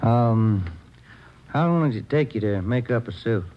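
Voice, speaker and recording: A man playing a folksy police detective sidekick in an old radio drama, asking questions in a casual, low-key way. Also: lively intonation, a little slow, lightly acted. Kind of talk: 1940s radio acting. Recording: dull, noisy old radio recording.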